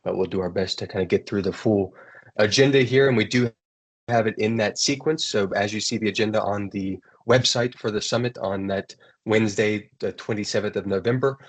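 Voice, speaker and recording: An American man hosting an online webinar, introducing the event and speakers in a relaxed, rambling way. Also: on the low side, a little fast, breathy edge. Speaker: webinar host.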